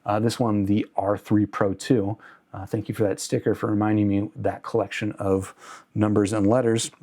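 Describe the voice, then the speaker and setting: A man's voice: on the low side, little pitch movement, normal speed, mellow. A man speaking casually and off the cuff as a review host, hedging and correcting himself as he goes. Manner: conversational, hedging, self-correcting. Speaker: host of an audio gear review channel. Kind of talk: casual unscripted product review to camera.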